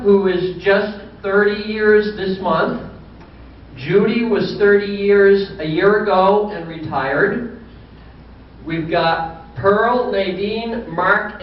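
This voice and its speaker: A man's voice: high voice, slight background noise. A man in his sixties, a business owner giving a folksy banquet speech full of dry, humorous anecdotes. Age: 60s. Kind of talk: anecdotal after-dinner speech. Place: banquet hall. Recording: distant echoey hall, muffled.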